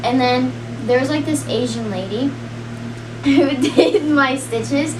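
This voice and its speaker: A young woman telling a personal story in a rambling, casual way, full of "like" and quick laughs. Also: high voice. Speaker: storyteller guest. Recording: roomy, distant, noisy.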